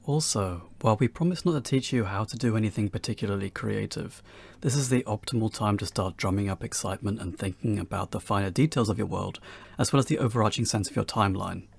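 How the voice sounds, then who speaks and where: Gravelly, husky man presenting a tutorial. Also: lowish voice, fast. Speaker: presenter of a beginners' tutorial talk. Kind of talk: scripted instructional narration.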